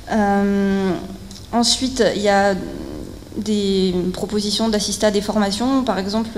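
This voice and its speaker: Woman presenting in an echoey, noisy hall. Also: medium pitch. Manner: hesitant, halting delivery with frequent fillers and repeated words. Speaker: researcher and consultant speaking at a conference. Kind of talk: semi-spontaneous technical conference presentation. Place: indoor conference hall at a technical event. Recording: echoey hall, clear background noise.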